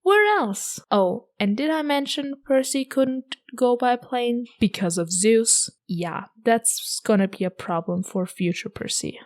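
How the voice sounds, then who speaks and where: A woman's voice: on the high side, animated, a little slow, few pauses. Woman narrating a playful book recap. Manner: playful asides to the listener. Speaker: podcast host. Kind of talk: scripted chapter recap narration.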